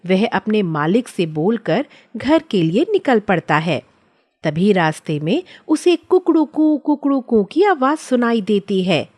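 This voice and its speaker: Slow, expressive female story narrator. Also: mid-range voice. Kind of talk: scripted children's story narration.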